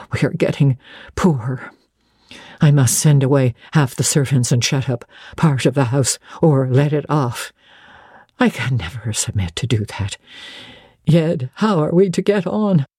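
A narrator puts on an ailing older gentlewoman from a storybook, bitter and full of grievance. She mutters in a querulous, scornful, agitated way. Her voice is dark and husky, recorded dry and close. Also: medium pitch, dry recording, pitched below the performer's own voice. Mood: fretful, despairing.

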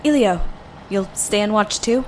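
A woman's voice: medium pitch, unhurried, lightly acted, poor audio. Woman as a matter-of-fact technician in noise. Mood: calm. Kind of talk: audio drama acting.

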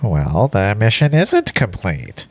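A man's voice: on the low side, wildly swooping pitch, takes it slowly, no echo, exaggerated voice. A man playing a weary, blunt, grumbling alien fleet commander in an audio play, humorless and eager to retire. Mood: weary, exasperated.

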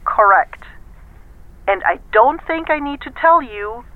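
An actress playing a brisk, persuasive corporate executive in an audio drama, firm and businesslike, heard through a telephone filter. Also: female voice, fairly high pitch, expressive. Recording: dry, narrow-band phone line.

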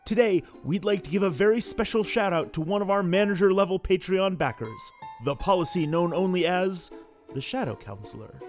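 A man delivers a scripted show announcement as executive producer. His voice is a little high, and music plays faintly underneath. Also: animated, fairly quick.

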